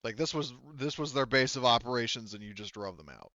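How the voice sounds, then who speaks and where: A man's voice: mid-range voice, fairly quick, pushed and bright, dry recording, highs cut off. A man narrating a tabletop game as game master, explaining casually and conversationally with lots of "you know" and "like". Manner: hedging, conversational, heavy use of "you know" and "like".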